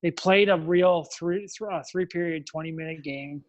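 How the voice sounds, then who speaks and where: A man's voice: on the high side, normal speed, muffled recording. Rambling, easygoing man in his thirties. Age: 30s. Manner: easygoing, rambling, self-amused. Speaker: podcast co-host. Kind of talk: casual chat.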